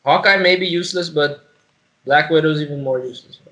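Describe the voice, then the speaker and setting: A man co-hosting a podcast, chatting casually about a film in a sweary, slangy way, halting and repeating phrases. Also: fairly high pitch, fairly bright tone. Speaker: podcast co-host.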